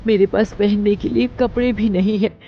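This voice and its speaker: A woman's voice: mid-range voice, fairly even intonation, moderate pace, a little hiss or hum, close to an everyday voice. Frail old beggar woman, played by a woman, pleading and weak, slightly dull recording.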